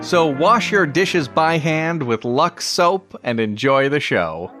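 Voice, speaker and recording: A man's voice: a little high, lively intonation, slow pace, music in the background. A man hosting a podcast, giving a scripted introduction to an episode. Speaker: podcast host.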